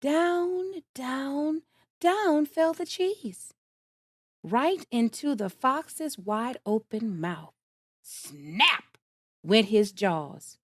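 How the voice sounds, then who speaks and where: A woman reading a storybook aloud at bedtime, gentle and expressive, in a measured way. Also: high-pitched, breathy edge, no room sound.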